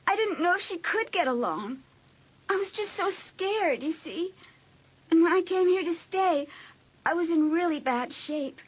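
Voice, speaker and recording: An actress playing a hurt, insecure, apologetic teenage girl in an audiobook drama, with an emotional, halting, pleading delivery. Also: very high voice, fairly bright tone.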